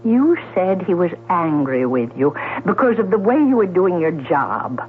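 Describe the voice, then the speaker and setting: An actress playing a gentle, earnest, questioning sister who prizes honesty, in a 1970s radio drama. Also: female voice, on the low side, very animated, unhurried. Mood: troubled insistence. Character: Mary, earnest, gentle, truth-loving housebound sister. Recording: tinny, noisy old radio broadcast.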